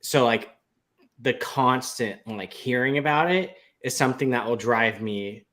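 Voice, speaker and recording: Casual, halting man chatting. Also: medium pitch, a bit bright. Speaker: panelist on a movie and TV talk show. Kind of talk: casual chat.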